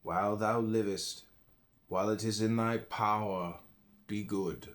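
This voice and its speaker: A man with an American accent and a fairly low voice reads philosophy aloud like an audiobook narrator. His delivery is solemn and emphatic, with a declamatory turn to the phrases. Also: fairly even intonation, measured pace. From United States. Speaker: audiobook reader. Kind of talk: audiobook reading of classical philosophy.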